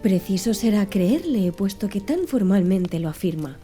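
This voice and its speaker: A woman voicing a calm, learned Flemish servant who speaks in a formal, measured way, for a storybook reading. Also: mid-range voice, faint music behind, close to an everyday voice. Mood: composed.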